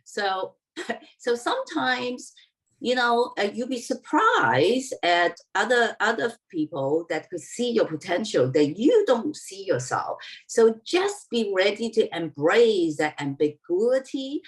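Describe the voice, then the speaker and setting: A woman panelist on a video call telling a career story, halting at first with many fillers and repeats. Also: mid-range voice, recorded from a distance. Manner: halting start, lots of uh and repeats, lively storytelling.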